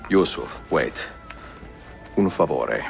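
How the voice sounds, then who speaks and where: An actor playing a weathered older Italian master assassin in a video game, noble and measured, with an Italian accent. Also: male voice, extremely low pitch, animated, vocal fry, soft background music, full character voice. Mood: resolute. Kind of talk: video game cutscene acting.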